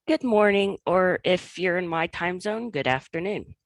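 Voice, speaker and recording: A woman librarian, hoarse after illness, giving a prepared conference presentation about her own career path in an earnest storytelling way. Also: on the low side, expressive, measured pace, dry recording. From Oklahoma.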